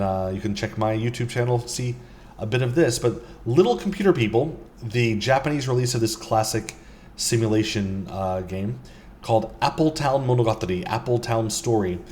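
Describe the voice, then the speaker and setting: A man's voice: on the low side, moderate pace, a little mellow, a little hiss or hum. A man casually talking through items in a game shop, rambling with lots of ums and uhs. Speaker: vlogger. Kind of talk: casual walkthrough commentary.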